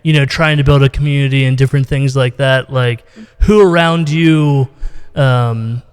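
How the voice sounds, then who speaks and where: A man's voice: mid-range voice, steady tone, normal speed, clear, resonant tone. An American man hosting a casual interview, talking in a rambling, informal way full of "you know.". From United States, Ohio. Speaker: podcast host, interviewer. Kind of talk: casual video-call interview. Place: indoors, reverberant room on a video call. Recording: roomy, long reverb.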